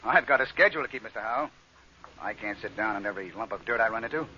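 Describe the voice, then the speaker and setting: Brisk, gruff starship captain, old radio. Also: male voice, on the low side, lively intonation, sharp tone. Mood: brusque. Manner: brusque, clipped commands. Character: gruff, impatient male freighter spaceship captain. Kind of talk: old-time radio drama acting. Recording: noisy, muffled vintage radio recording.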